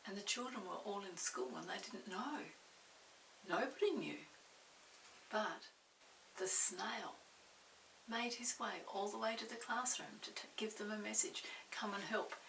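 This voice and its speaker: An older woman reading a story aloud to young children, expressive, pausing to explain and ask the listener questions. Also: mid-range voice, vocal fry. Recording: roomy, distant mic with faint hiss.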